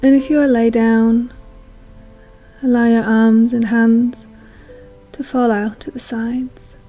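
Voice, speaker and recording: A woman leads a guided meditation in a soothing, hushed manner, leaving long pauses. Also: fairly high pitch. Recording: soft music behind voice. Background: calm meditation music.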